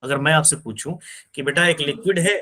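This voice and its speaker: A man's voice: on the high side, brisk, pushed and bright. A man teaching physics in an online class, explaining step by step and often checking that his students understand.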